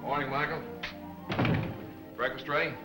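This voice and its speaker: An actor playing a suave, flippant young newspaper boss in a brisk, clipped 1940s serial style. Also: male voice, medium pitch, flat delivery, lightly acted. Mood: flippant, dismissive. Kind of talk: 1940s film serial acting. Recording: old film soundtrack, faint music behind.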